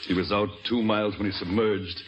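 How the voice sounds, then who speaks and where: Man playing grave low-voiced officer, noisy old radio. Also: fairly even intonation, fairly quick, highs cut off, lightly acted. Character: grave, watchful senior officer in Revolutionary War. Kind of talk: old-time radio drama acting.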